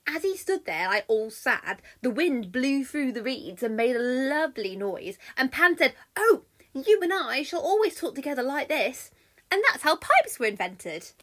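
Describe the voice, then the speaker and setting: A woman's voice: sits high, sing-song, normal speed, fairly bright tone, heavily acted. A British woman voicing a glib male messenger god who spins a tale casually and theatrically in a comic myth. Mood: grinning, glib.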